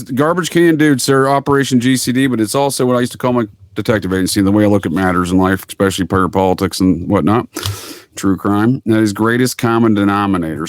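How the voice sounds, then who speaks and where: Low-pitched man telling conspiracy stories. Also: dry recording, studio quality. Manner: rambling storytelling, emphatic repetition, slangy. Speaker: podcast guest. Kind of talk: casual conspiracy talk.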